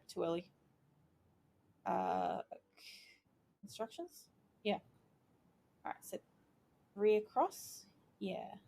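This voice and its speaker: A woman playing a video game and chatting as she works it out, excited by each small success, her voice going croaky. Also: lowish voice. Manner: chatty, thinking aloud, easily excited. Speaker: gaming YouTuber. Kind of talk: casual let's-play commentary.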